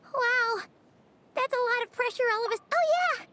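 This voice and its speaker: A woman voicing a tiny, childlike, excitable fairy companion in a video game, squeaky and chirpy with blunt exclamations. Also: moderate pace, noisy background. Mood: chirpy, eager. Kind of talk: cartoonish game voice acting. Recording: loud game music behind.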